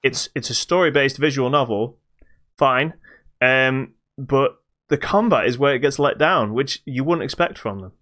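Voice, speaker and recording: A man's voice: mid-range voice, moderate pace, fairly bright tone. A man co-hosting a podcast, casually describing and judging games with an opinionated storytelling manner. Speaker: podcast co-host. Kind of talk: casual chat, game review.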